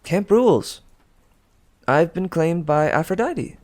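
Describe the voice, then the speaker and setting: A man's voice: a little high, animated, slow pace, darkish tone, pitched above the performer's own voice. A man voicing a confident teenage storybook heroine with a bold, breezy, taunting manner. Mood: smug, assured. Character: confident, cheerfully defiant teenage girl heroine.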